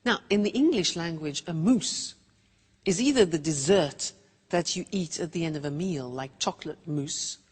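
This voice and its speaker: Husky, animated woman teaching in reverb. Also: fairly low pitch. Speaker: English teacher presenting lessons. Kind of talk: lesson addressed to viewers.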